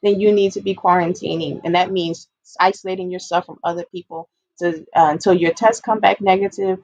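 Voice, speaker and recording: A woman's voice: fairly low pitch, fairly even intonation, a little fast, darkish tone, no room sound, muffled recording. A woman giving an informal, explanatory public health presentation, stressing and repeating her key points as an educator.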